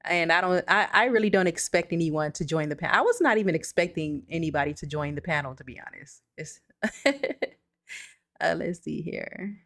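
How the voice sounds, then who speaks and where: A woman's voice: low voice, moderate pace, a bit bright. A woman hosting a casual livestream, chatting loosely and reassuringly as she reads out viewers' comments. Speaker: livestream host. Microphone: streaming setup with computer mic.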